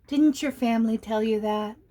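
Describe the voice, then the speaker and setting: A woman voicing a calm, motherly female guardian angel who speaks gently but firmly. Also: on the high side, moderate pace, close to an everyday voice. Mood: gentle.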